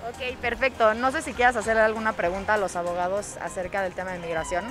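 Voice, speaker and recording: Female reporter running street interviews, mid-pitched and upbeat, with music running under her. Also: flat delivery, fast. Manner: upbeat, switches between Spanish and English when asking questions.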